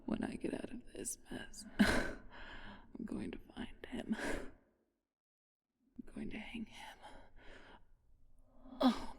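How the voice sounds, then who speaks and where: A woman playing an ancient, flirtatious and haughty vampire thief in an ASMR audio roleplay, weakened by a wound and speaking in a breathy, husky whisper. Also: mid-range voice, exaggerated voice. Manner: slow, teasing, haughty, with theatrical pauses.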